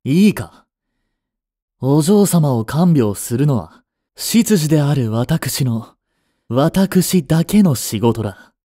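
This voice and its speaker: Casting: male actor as a cool young butler, formal, devoted and jealous, dark mellow tone, close dry sound, romantic audio drama. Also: medium pitch, no echo, lightly acted. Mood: cold, threatening. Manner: formal, polite to his mistress, curt to rivals.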